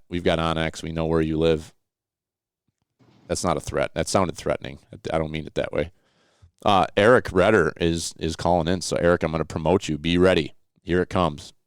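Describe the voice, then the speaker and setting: A man with a very deep, resonant voice hosts a casual talk show. He rambles good-naturedly and jokes along, recorded close and dry. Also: clean tone, dry recording. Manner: rambling, joking, jovial. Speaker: podcast host taking live calls. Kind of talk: casual outdoors podcast chat.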